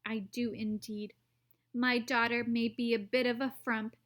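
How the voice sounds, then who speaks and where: A woman's voice: on the high side, flat delivery, unhurried, fairly bright tone. A woman voicing a pompous, indignant well-to-do father in a children's storybook. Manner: pompous, huffy.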